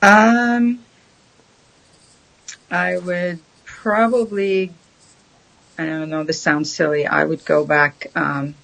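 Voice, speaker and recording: A woman, a guest answering questions thoughtfully, with many pauses and ums. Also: fairly low pitch, flat delivery, vocal fry, a bit bright, rough recording. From Syria. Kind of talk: casual interview chat.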